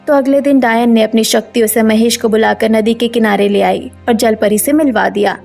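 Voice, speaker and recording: A woman narrates a children's style cartoon tale in a fairly high voice with noticeable creak. Her delivery is scripted storytelling, with faint music behind. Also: steady tone, moderate pace, a little mellow.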